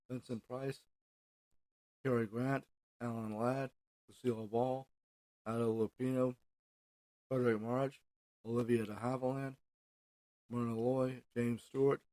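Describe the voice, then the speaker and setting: An American man presents a solo podcast in a dark, mellow voice at an average pitch. He reads from notes informally and haltingly, tripping over names and phrases. The sound is dry, with very little room around him. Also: no room sound, poor audio. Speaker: podcast host.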